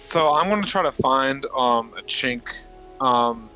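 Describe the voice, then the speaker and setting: A man with an average-pitched voice takes part in a tabletop roleplaying game as a player. His talk is casual and conversational, with faint music behind him. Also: expressive, unhurried, pushed and bright, poor audio.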